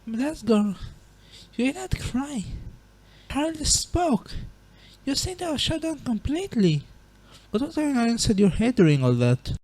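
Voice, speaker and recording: A woman playing a gentle, proper, remorseful monster queen and mother in a dramatic comic dub, with formal, refined diction. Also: on the high side, big pitch swings, a little slow, rough recording. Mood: sorrowful.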